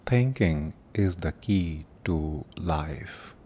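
Man, meditation teacher, very deep voice, extremely slow pace, solemn prayerful delivery, long pauses, rumbly background noise. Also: expressive, mellow. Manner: solemn, deliberate, with long pauses between short phrases. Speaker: meditation teacher and podcast host. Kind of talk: guided meditation with prayerful invocation.

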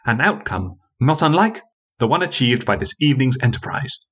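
A man voicing a sharp, skeptical young woman lawyer who speaks in a cutting, coolly dismissive way. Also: mid-range voice, very animated, moderate pace, husky, muffled recording, pitched above the performer's own voice.

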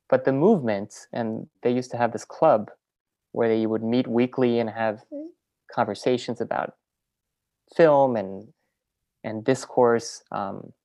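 A woman filmmaker and teacher, in a relaxed interview, who talks in loose, rambling sentences full of fillers and restarts. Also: rumbling low. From French Venezuelan, raised in New York. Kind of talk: casual interview conversation.

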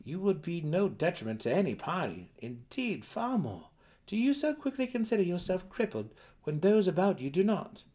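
A man voicing an earnest, noble dark elf in a fantasy audiobook, speaking in measured, formal phrases. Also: high voice, sing-song, voice pushed up. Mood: earnest, reassuring.

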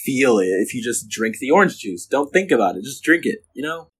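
A man's voice: mid-range voice, sing-song, brisk, fairly bright tone, breathy edge. A young man co-hosting a podcast in casual chat, playful and laughing often. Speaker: podcast co-host. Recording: poor audio quality.

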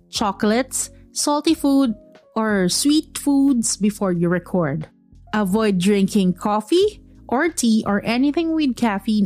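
A woman's voice: medium pitch, darkish tone. A woman with a Filipino accent, a podcast host giving tips in a direct, teasing way, mixing English and Tagalog.

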